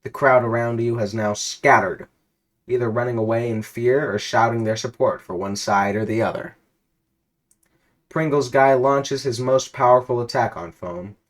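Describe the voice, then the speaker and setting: A man narrating an absurd interactive story aloud in a theatrical, emphatic way. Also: medium pitch. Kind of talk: dramatic read-aloud of absurd interactive fiction.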